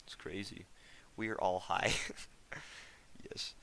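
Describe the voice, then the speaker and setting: A man's voice: deep voice, fairly even intonation, takes it slowly, gravelly, bright, forceful tone, no echo. A teenage American guy streaming a game, chatting casually with viewers in a rambling, slangy way. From United States. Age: teens. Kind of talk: casual live stream chat.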